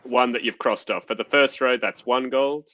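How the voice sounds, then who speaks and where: A man's voice: medium pitch, normal speed, sharp tone, no echo. A man hosting a board game play-along with casual commentary and chat, in slightly lo-fi audio.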